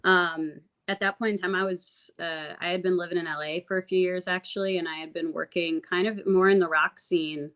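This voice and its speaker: Raspy, lower-pitched woman, musician guest, reflective and rambling, dull lo-fi sound. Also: fairly even intonation, brisk, hardly any pauses, fairly bright tone. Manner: reflective, rambling with many fillers and restarts. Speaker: singer-songwriter guest. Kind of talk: casual interview chat. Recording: dull lo-fi audio.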